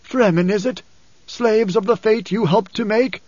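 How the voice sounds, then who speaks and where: Man voicing an anguished, high-pitched old blind prophet. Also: a little slow, a little mellow. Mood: bitter, searching. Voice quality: husky, trembling. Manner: solemn, dramatic, formal speech. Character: anguished older blind father, weary desert prophet. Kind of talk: audiobook character acting. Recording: dull cassette audiobook, roomy echo.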